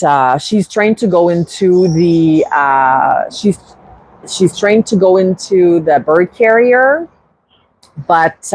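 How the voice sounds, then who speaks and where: A woman's voice: deep voice, no room sound, poor audio. A woman from Quebec with a French accent, English her second language, hosting a casual livestream and chatting to viewers with frequent restarts. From Quebec, Canada. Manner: unhurried, restarts often, reads viewer comments. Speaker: bird-keeping channel host.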